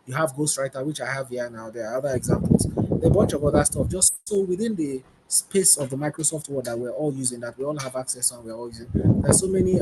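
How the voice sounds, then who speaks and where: A man, demonstrating software on a webinar panel, loosely repeating himself as he goes. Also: mid-range voice. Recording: loud background noise.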